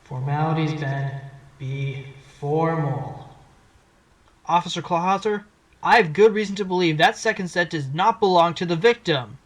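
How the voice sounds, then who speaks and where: A man voicing a wry, sharp-witted fox defense attorney in a fan audio drama, insistent as he questions a witness. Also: fairly high pitch, moderate pace, lightly acted. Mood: challenging. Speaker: defense attorney cross-examining. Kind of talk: fan audio drama acting with inner monologue. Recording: loud music under the voice. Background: loud music.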